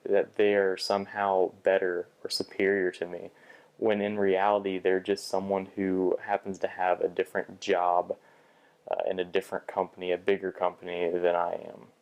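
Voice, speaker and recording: A man's voice: sits low, monotone, moderate pace, a little mellow, poor audio. A young man talking through a bad job interview in a personal vlog, hesitant, with frequent restarts and fillers. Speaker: vlogger.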